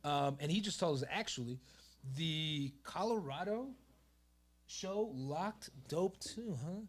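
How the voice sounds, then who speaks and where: A man's voice: a little high, animated, slow. A man whose first language is Spanish, co-hosting a casual livestream chat, loose and laughing, often swearing and tripping over names. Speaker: podcast co-host.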